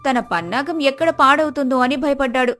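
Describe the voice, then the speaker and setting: Woman storyteller, really high voice, scripted tale, music playing behind her. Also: brisk, a bit bright.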